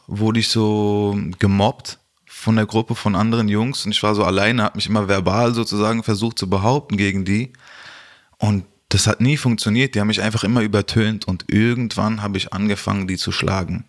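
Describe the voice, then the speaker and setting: A man's voice: fairly low pitch, fairly even intonation, normal speed. A man from Germany speaking as an interview guest, reflecting on personal experience in colloquial language and explaining step by step. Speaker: social worker, interview guest.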